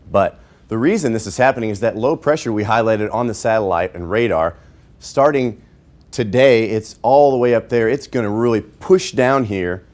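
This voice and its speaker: A man presenting a TV weather forecast off the cuff, somewhat halting as he reworks his sentences. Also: on the low side. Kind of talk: unscripted weather presentation, live aircheck.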